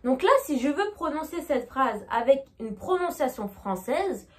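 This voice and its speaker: High-voiced French woman teaching. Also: animated, moderate pace. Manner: chatty, keeps asking "d'accord?". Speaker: English teacher. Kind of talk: online lesson to camera.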